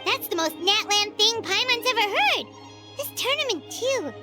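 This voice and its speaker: A tiny childlike fairy companion from a video game. Her voice is extremely high and squeaky, swinging excitedly up and down. She talks in exclamations, with music clearly playing behind. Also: normal speed. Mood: chatty, excited. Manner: exclamatory, speaks of herself in third person.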